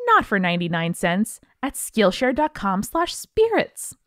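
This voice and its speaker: A woman co-hosting a podcast in a casual, animated, slangy conversational style, chatting and reading sponsor spots. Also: medium pitch, unhurried, no echo, studio quality. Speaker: podcast co-host. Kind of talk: casual chatty ad read and banter.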